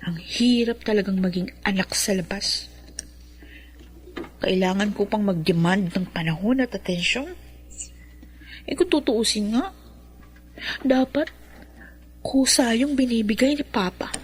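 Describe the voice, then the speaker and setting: A woman's voice: medium pitch, unhurried, pauses often, natural voice. An actress playing a sassy, attention-hungry young woman in a Filipino radio drama, teasing and pert. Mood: sulky. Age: young adult.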